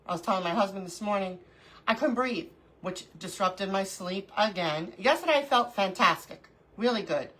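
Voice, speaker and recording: A middle-aged woman hosts a casual crafting livestream from home. Her voice is bright and clear, though stuffy from allergies, and she sniffles now and then. She chats easily and greets viewers one by one by name. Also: medium pitch, expressive, normal speed, poor audio. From New York.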